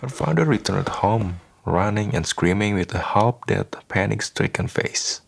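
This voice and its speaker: A man narrating a scripted radio drama as a solemn, deliberate storyteller. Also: fairly low pitch, vocal fry, a little hiss or hum. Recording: voice over prominent music. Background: music.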